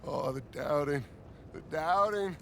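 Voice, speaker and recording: An actor playing a scheming, self-satisfied older American outlaw leader in a video game, with theatrical, oratorical delivery. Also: male voice, fairly high pitch, unhurried, creaky, a bit bright, a little hiss or hum. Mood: smug, mocking. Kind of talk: dramatic video game acting.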